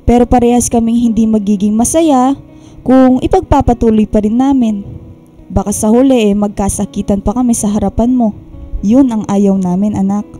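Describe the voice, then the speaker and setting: Actress as caring older Filipino mother, high mellow voice, fretful scolding, radio drama, soft guitar music behind. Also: fairly even intonation, normal speed, close to an everyday voice. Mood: reflective. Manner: motherly, emphatic, fussing.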